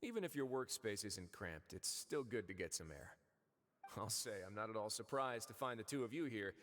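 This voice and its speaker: An actor playing a composed, wry, courteous duke who runs a prison in a video game, measured and articulate. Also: male voice, on the low side, animated, fairly bright tone. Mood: casual, wry. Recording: reverberant, with faint music behind.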